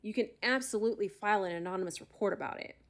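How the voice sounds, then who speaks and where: An actress playing a brisk, skeptical but helpful animal expert, speaking matter-of-factly in an audio drama. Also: female voice, mid-range voice, lightly acted. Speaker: outside expert being interviewed.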